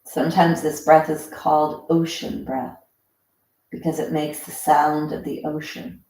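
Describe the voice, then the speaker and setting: A woman leading a guided breathing meditation in a gentle, instructional way, leaving pauses between short phrases. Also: sits low, level tone. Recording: roomy, echoing sound.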